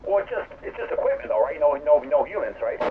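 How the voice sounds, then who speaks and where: A man in his forties talking quickly with a lively, bouncing voice, jokey and quick to laugh. He comes through a thin, phone-like radio with a clear hiss. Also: on the high side. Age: 40s. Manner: animated, jokey, laughs often. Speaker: amateur radio operator. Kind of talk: radio net chat.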